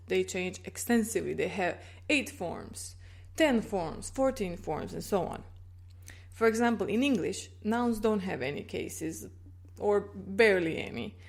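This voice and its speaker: A woman's voice: medium pitch, darkish tone. Woman, language teacher, patient explainer, checks understanding often. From Croatia. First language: Croatian. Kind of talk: explaining grammar in a video lesson.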